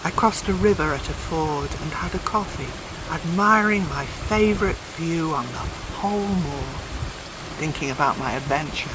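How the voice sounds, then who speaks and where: A woman reading a personal essay aloud as scripted narration, with measured, deliberate phrasing. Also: sits low, lively intonation, noisy background. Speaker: volunteer reader.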